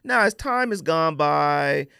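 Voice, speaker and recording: A man's voice: a little high, expressive, takes it slowly, vocal fry, sharp tone, smooth, no echo, studio quality. An American man hosting a casual podcast, giving opinions in an emphatic, slangy way and repeating phrases for emphasis. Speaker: podcast host.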